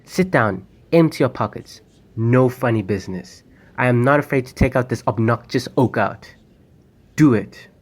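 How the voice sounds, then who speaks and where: A man's voice: medium pitch, normal speed, breathy edge, close to an everyday voice. A young man acting a commanding, impatient armed bank robber in an amateur radio drama. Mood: impatient threats. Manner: commanding, curt. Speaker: student actor.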